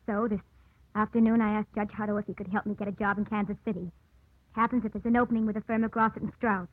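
An actress playing a gentle, self-sacrificing young woman in love in a 1950s radio drama. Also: mid-range voice, steady tone, quick pace, slightly rough, no room sound, narrow, tinny audio, close to an everyday voice. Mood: resigned, tender. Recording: thin, band-limited vintage radio recording.

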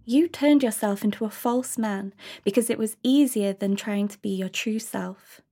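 A woman voicing a gentle, sad otherworldly young boy, speaking softly and quietly. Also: medium pitch, normal speed, close to an everyday voice. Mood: tender. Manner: soft, quiet delivery.

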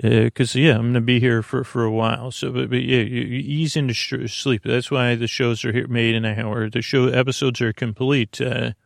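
An American man with a gravelly, creaky voice hosting a bedtime podcast, meandering and halting as he trails off mid-thought. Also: mid-range voice, flat delivery, no room sound, studio quality. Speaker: podcast host. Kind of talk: rambling bedtime monologue to help listeners sleep.

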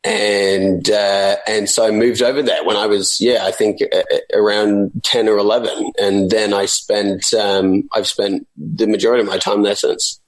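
A young man from England, a guest actor in a conversational interview, speaking in a relaxed, hesitant way with many fillers and restarts. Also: lowish voice, normal speed, few pauses, poor audio.

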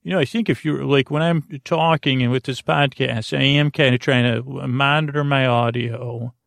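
Creaky-voiced man, hesitant and meandering. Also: medium pitch, fairly even intonation, moderate pace, fairly bright tone, no room sound, studio quality. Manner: meandering, hesitant, stumbling over words. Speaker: podcast host. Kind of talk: rambling sleep-podcast monologue.

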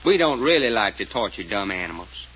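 An actor playing a folksy, wisecracking American detective sidekick in 1940s radio style, colloquial and needling. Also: male voice, on the low side, very animated, a little fast, fairly bright tone. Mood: needling, scornful. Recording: muffled, noisy old radio recording.

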